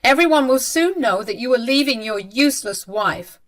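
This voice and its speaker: A female narrator puts on an indignant middle-aged mother-in-law from a family drama story. The voice sits high, with exclaiming, irritated questions and a defiant air of innocence. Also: animated, moderate pace, close to an everyday voice.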